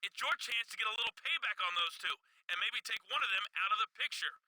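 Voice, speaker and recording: Goading female wrestling insider, high pitch, very bright, coaxing, game cutscene. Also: expressive, quick pace, dry recording, heavily acted, low-quality recording. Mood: conspiratorial.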